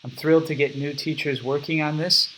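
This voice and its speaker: A man's voice: a little high, fairly even intonation, fairly quick, pushed and bright, slight background noise, poor audio. A young man casually explaining his app step by step in a recorded screen walkthrough at his computer. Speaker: app developer demonstrating his product.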